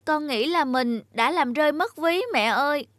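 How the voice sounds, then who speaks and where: A woman voicing an earnest, sensitive young boy in an animated story, polite and pleading in manner. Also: sits high, moderate pace. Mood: anxious. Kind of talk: Vietnamese animated moral story dubbing. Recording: music behind the voice.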